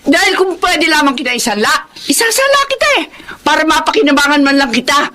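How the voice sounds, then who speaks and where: A woman's voice: high voice, very animated, quick pace, bright, forceful tone, slight background noise, heavily acted. A woman playing a blunt, sarcastic, fed-up wife and mother in a Filipino radio drama. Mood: angry scolding. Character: sharp-tongued, fed-up wife and mother of three.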